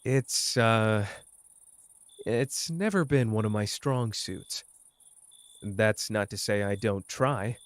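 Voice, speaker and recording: A man's voice: lowish voice, takes it slowly, pauses often, no echo. Guy voicing a cocky but tender young sorcerer, asmr roleplay, takes his time, dead dry sound. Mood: awkward, sincere. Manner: informal, hesitant when talking about feelings. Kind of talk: intimate roleplay audio acting.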